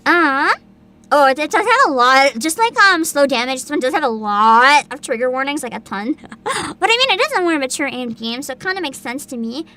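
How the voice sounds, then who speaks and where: High, bright-voiced excitable woman streamer. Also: brisk. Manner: excitable, giggly, exaggerated dramatic emphasis. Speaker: livestream host, VTuber. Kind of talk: casual livestream chat.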